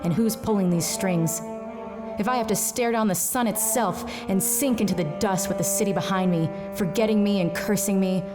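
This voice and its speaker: A woman's voice: medium pitch, normal speed, natural voice. A woman playing a hard-drinking, defiant private eye in a noir audio drama, with a sardonic, clipped, tough delivery. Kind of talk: noir radio drama acting, monologue and dialogue. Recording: music bed behind voice.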